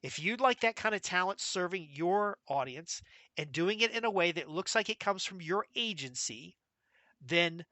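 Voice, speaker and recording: An American man hosting a solo business podcast, talking in a brisk, emphatic way and rattling off lists. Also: a little high, bright, forceful tone, dry recording. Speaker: podcast host.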